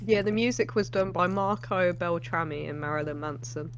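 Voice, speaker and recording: Higher-voiced man chatting about a film. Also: normal speed. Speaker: co-host of a film reaction show. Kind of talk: casual movie reaction chat. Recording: movie music clearly behind. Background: film soundtrack music.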